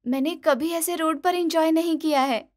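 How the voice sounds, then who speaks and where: Woman doing a high-pitched, wilful young bride. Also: fairly even intonation, normal speed, no room sound, clean studio sound. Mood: playful insistence. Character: stubborn, playful young newlywed wife who loves corn. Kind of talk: animated Hindi moral-story dubbing.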